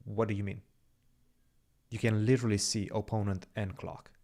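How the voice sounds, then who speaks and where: A man's voice: lowish voice, flat delivery, moderate pace, gravelly, mellow. A young man whose first language is Romanian, co-hosting a chess stream, chatting casually with viewers and thinking aloud over moves. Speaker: chess podcast co-host reading chat. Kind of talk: casual livestream chat with game commentary.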